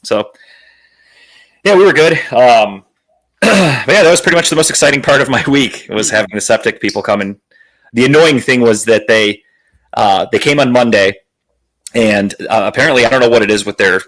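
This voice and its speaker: A man hosting a podcast in casual chat, telling rambling, profane stories in a slightly raspy, worn, phlegmy voice. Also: medium pitch, moderate pace, fairly bright tone, rough recording. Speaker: podcast host.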